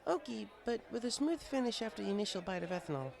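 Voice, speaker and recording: A man's voice: high-pitched, sing-song, fast talker, vocal fry, darkish tone, some chatter behind, pitched above the performer's own voice, exaggerated voice. A man voicing a studious, polite young female pony with a precise, bookish manner in a storybook reading.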